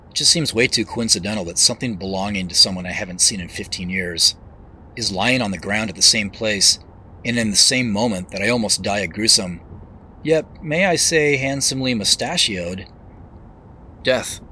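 An actor playing a wry, reflective man who narrates his own mystery in an audio drama, in measured phrases. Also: on the low side, bright, forceful tone, slight background noise, poor audio. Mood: wry, dry. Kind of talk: first-person scripted audio drama narration. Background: music.

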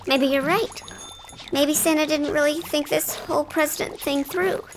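Very high chirpy elf. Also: male voice, fairly even intonation, moderate pace, music in the background. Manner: chirpy, easygoing. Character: cheerful, naive male workshop elf.

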